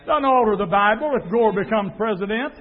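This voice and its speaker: A man preaching a fiery sermon, dropping to a low murmur and then rising to a shout. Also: lively intonation, brisk. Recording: tinny phone-like sound.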